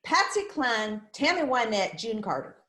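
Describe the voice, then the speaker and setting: Female, prickly, folksy small-town mother played by an actress, high swooping voice, dramatic storyteller, for screenplay drama. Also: sing-song, measured pace, pushed and bright, reverberant, heavily acted. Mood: wry, controlling. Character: crazy, possessive, theatrical small-town country mother, fond but prickly. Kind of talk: screenplay table read acting. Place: remote virtual table read, roomy-sounding space.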